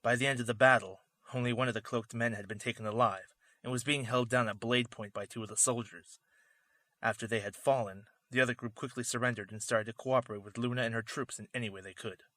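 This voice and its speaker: A man's voice: mid-range voice, fairly even intonation, fast talker, bright, forceful tone, no echo, natural voice. A man playing a sardonic wolf-man drifter and former warrior, narrating and acting casually in an audio drama. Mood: wry narration. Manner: wry, casual. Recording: music behind.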